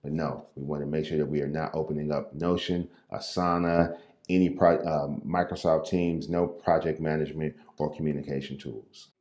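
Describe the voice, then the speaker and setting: A man from St. Louis with an exceptionally deep, dark, mellow voice talks other agents through their habits in a loose, unscripted coaching style. His delivery is even and close to monotone, with small restarts and fillers. He stands at his call desk in an echoey room. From Midwest US, St. Louis. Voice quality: naturally low, somewhat monotone voice. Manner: unhurried, loose and conversational, with repeated words and fillers. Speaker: real estate agent and coach. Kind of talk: impromptu coaching talk to camera.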